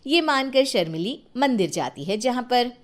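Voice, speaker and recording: Female story narrator: highish, very animated, unhurried, playful and dramatic delivery of a scripted tale.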